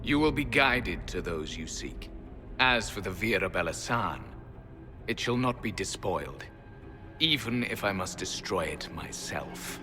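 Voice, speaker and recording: An actor voicing a proud, weary ancient elf guardian in a video game, speaking in stern, formal, archaic phrases. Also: male voice, sits low, lively intonation, measured pace, bright, forceful tone, heavily acted. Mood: resolute. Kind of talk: dramatic video game acting. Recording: long hall reverb, music underneath.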